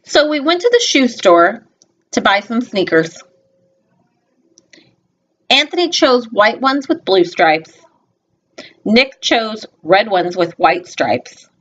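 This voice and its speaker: A woman's voice: fairly high pitch, very animated, slow, long pauses, highs cut off. A woman reading a children's picture book aloud expressively, with simple instructive asides to young listeners. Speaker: storybook reader.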